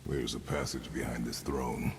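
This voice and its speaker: An actor playing a stern, battle-worn warrior father in a video game, speaking in terse, grave, commanding phrases with a gravelly voice. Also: steady tone, normal speed, heavy background noise. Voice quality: very deep, gravelly. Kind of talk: video game character acting.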